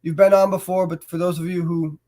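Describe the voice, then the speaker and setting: A man with a cold and a stuffy voice, hosting a casual show and talking in a relaxed way with many fillers. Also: sits high, a little fast. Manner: relaxed, filler-heavy, warm encouragement. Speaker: host.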